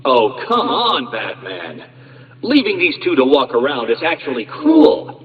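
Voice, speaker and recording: An actor playing an arrogant, condescending genius villain in a video game, with a pompous, sneering, wordy delivery. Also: male voice, medium pitch, huge pitch swings, a little slow, lo-fi sound. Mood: exasperated, sneering.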